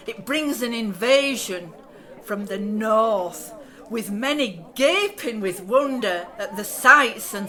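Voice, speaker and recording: A woman's voice: fairly high pitch, pushed and bright, full character voice. A woman acting a formal, patronising 1960s newsreel narrator in a radio play. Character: earnest, condescending 1960s female newsreel narrator. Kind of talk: newsreel-style scripted narration. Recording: noisy background ambience.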